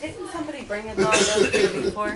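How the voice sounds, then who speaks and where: Lively-voiced woman amid chatter. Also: medium pitch, fast talker. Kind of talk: casual chat. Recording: people chattering behind.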